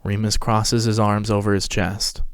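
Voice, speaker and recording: A man's voice: fairly low pitch, flat delivery, a little slow, clear, resonant tone, no echo. A man narrating a scripted story as a podcast host, reading it dramatically.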